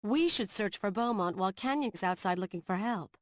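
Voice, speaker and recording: Actress as a confident, lively-pitched game heroine. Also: female voice, brisk, no room sound, clean studio sound, close to an everyday voice. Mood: skeptical. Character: confident, skeptical young adventurer heroine and businesswoman. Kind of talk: video game cutscene acting.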